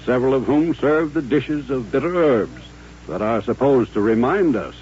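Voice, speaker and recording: A man acting in an old-time radio drama as a courtly, dignified colonel of mature years, with formal, measured speech. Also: medium pitch, animated, darkish tone, close to an everyday voice. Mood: grave, dignified. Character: courtly, dignified older Southern colonel turned rancher. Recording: muffled old radio recording with hum.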